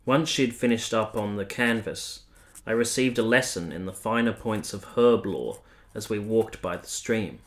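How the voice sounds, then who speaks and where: A man playing a devoted army captain in a period radio play, speaking in a measured, articulate, reflective way. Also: on the low side, close to an everyday voice. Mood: wistful, fond. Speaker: narrator and lead character. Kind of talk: radio play acting with reflective scripted narration.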